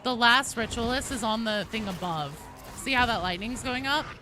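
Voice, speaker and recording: Bright-voiced woman chatting on a game stream. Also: on the high side, normal speed, faint music behind. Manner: informal, sweary, chatty with viewers. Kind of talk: casual live gaming stream commentary.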